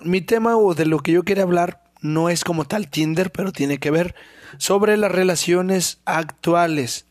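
A young man with a Mexican accent hosting a casual solo podcast, hoarse from a sore throat, rambling and swearing freely and clearing his throat often. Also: high voice, unhurried, no room sound, clean studio sound. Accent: Mexican Spanish. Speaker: podcast host.

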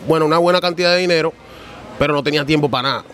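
This is a man who grew up in New York, a guest on a casual podcast. He talks freely and with plenty of slang, rambling through anecdotes. His voice sits a touch higher than most men's, with light noise under it. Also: racing, a bit bright. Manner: talkative, slangy storyteller. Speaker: guest, trader.

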